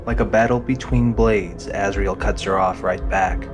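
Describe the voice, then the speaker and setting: Low-voiced man narrating dramatic fiction. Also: steady tone, a little slow, over music.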